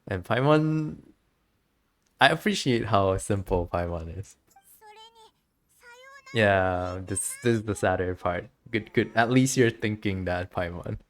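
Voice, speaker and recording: A woman's voice: very deep voice, takes it slowly, pauses often. A woman doing casual, chatty, giggly gameplay commentary as a game streamer. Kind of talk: casual gameplay commentary, reading dialogue aloud.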